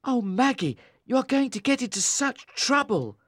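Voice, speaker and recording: A woman voicing a blunt, teasing young boy in an audiobook, with a husky, mocking delivery. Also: on the high side, very animated, normal speed, dry recording, clean studio sound, voice pushed up. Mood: alarmed. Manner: mocking, exclamatory. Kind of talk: audiobook character voice.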